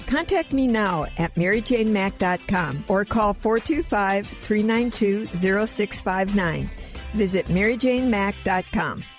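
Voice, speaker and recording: A woman's voice: mid-range voice, expressive, unhurried, soft background music. A woman presenting her own service in a scripted ad spot.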